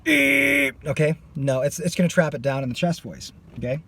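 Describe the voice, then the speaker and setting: A man's voice: fairly high pitch, sing-song, moderate pace, gravelly, bright, forceful tone. A man teaching a singing lesson. He is losing his voice and stops his explanation to demonstrate screams. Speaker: vocal coach.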